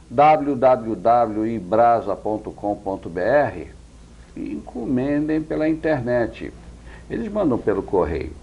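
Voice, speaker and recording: A Brazilian man hosts a TV interview in a slow, husky voice that swings widely in pitch. He is effusive and talks to the viewers as friends. The sound is dull and lo-fi. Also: darkish tone. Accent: Brazilian Portuguese. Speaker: interviewer and show host. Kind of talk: chatty TV interview.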